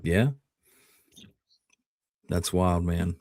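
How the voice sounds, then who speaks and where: A male podcast co-host with a fairly low voice chats casually and keeps his remarks short and agreeable. The sound is dry, with hardly any room on it. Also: flat delivery, very slow, darkish tone, dry recording. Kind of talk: casual chat.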